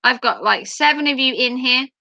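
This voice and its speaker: A woman livestreamer chatting playfully with her viewers, her voice going a little hoarse after hours of talking. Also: sits high, normal speed, sharp tone, dull audio, slight background noise. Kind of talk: casual chat with viewers.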